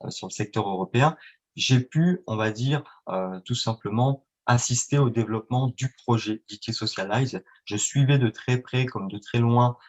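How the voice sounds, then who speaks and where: A man's voice: mid-range voice, normal speed. A man answering interview questions as a guest, speaking with many fillers and restarts. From France and Italy. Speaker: guest, independent trader. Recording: dull lo-fi sound.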